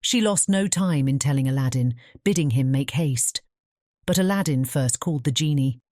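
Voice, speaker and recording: A woman narrating a scripted fairy tale as an audiobook, in a measured storytelling manner. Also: extremely low pitch, dark tone, no echo, studio quality.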